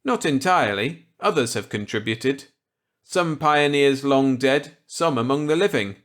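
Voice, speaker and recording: Man acting a breezy, wry scientist, pitched up. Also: lively intonation, unhurried, fairly bright tone. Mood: earnest, assured. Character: genial, wry, pipe-smoking scientist, sly and unrepentant.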